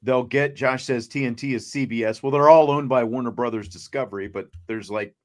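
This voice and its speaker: Male sports talk host, conversational and measured. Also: mid-range voice.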